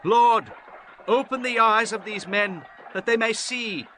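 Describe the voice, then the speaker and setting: A man's voice: high-pitched, a little slow, pushed and bright, slight background noise, poor audio. A man playing commanding prophets and kings of ancient scripture in a formal, declamatory way. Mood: fervent. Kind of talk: dramatized scripture acting. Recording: music bed under the voice.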